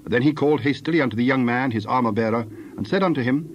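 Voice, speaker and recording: A man narrates a dramatized scripture reading. His mid-pitched voice is solemn and measured. The recording is slightly dull, with faint noise behind him.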